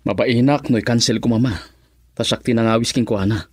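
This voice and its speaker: A man's voice: medium pitch, fairly even intonation, fast talker, breathy edge, no room sound, studio quality, lightly acted. A Filipino man playing a young, lovestruck and defiant son in a radio drama. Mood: reluctant.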